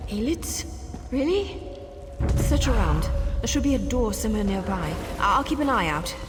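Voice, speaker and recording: A woman's voice: medium pitch, very animated, normal speed, long reverb tail, over music, lightly acted. An actress voicing a wry, roguish adventurer woman with a sordid past in a video game, sly, teasing and conversational. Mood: alert, urgent.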